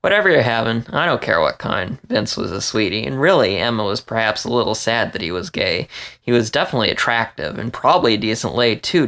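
A man with a creaky voice reading a story aloud as scripted narration. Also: on the low side, sharp tone. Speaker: story reader.

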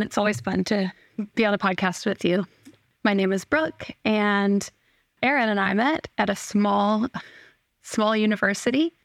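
A woman in her thirties, a podcast guest telling her personal story in a reflective, relaxed way. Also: medium pitch, creaky, no echo, clean studio sound. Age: 30s.

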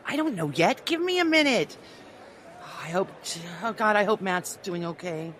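Woman playing a bright, animated wisecracking youngster. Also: medium pitch, normal speed. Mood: impish, restless. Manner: casual, wisecracking. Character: chatty, flippant, impulsive young earthling. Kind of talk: audio drama acting. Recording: faint background ambience noise.

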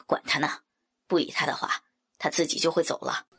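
A woman voicing a lecherous, menacing male shop villain with a breathy, taunting delivery in an audiobook story. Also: deep voice, fairly even intonation, brisk, fairly bright tone, voice pushed down, heavily acted. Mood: mocking, coercive.

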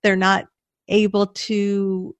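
A woman's voice: medium pitch, completely flat delivery, slow, dry recording. A woman with a North American accent, interviewing a guest in a conversational way. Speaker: interviewer and coach.

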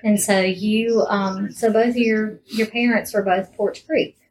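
A woman's voice: mid-range voice, steady tone, measured pace, slight background noise, rough recording. Woman hosting a conversational interview. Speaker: podcast host, interviewer.

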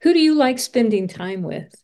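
A woman's voice: on the high side, expressive, normal speed, dark tone. A woman podcast host chatting casually in an interview, thinking aloud and often rephrasing as she goes. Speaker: children's author.

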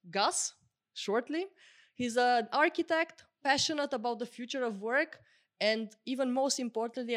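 A woman's voice: fairly high pitch, fairly bright tone, no room sound, clean studio sound. A woman hosting a podcast, reading an upbeat introduction and then asking her guest questions politely. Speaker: podcast host.

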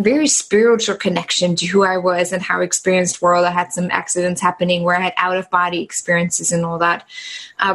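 A woman's voice: mid-range voice, fairly bright tone. A woman from East Germany with a German accent, chatting loosely as an interview guest, often restarting her sentences. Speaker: guest, transformation coach. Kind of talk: casual interview storytelling.

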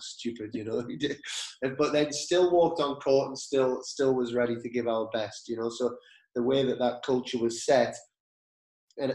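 A male podcast host telling a story and asking questions in a relaxed, agreeable conversational manner. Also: medium pitch. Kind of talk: conversational interview. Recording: echoey room.

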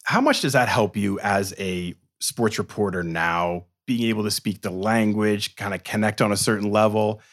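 A man from Boston hosting a sports career podcast, teasing his guest with quick jokes. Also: fairly low pitch, fairly bright tone. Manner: jokey, teasing, quick interjections. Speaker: podcast host. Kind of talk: podcast interview.

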